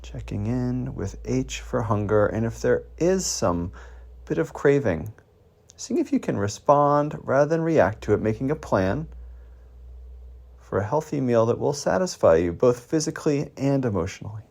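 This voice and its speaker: A man leading a guided meditation as a mindfulness teacher, gently prompting with questions and pauses. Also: mid-range voice, expressive, gravelly.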